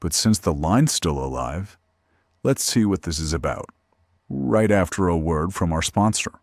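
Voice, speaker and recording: A man playing a droll, macabre late-night radio horror host, theatrical and sardonic with deadpan humour. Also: low-pitched, unhurried, gravelly, no room sound, studio quality, heavily acted. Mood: menacing, courtly. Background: faint music.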